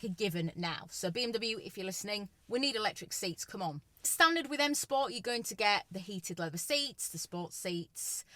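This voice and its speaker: A woman's voice: mid-range voice, bright, forceful tone, no echo, distant mic. A young woman with a Yorkshire accent giving a chatty, opinionated car review from inside the car while driving. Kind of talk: casual on-camera car review.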